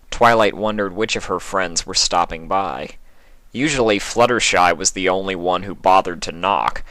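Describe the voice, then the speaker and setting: A man with a low voice narrates a written story. He is recorded close and dry, reading from a script as the storyteller. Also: fairly bright tone, dry recording. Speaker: narrator, fan fiction reader.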